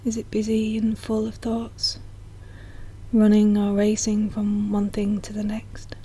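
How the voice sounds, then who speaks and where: Soft, breathy woman guiding a meditation. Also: medium pitch, completely flat delivery. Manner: soft, slow, soothing delivery. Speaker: meditation guide. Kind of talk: guided meditation narration.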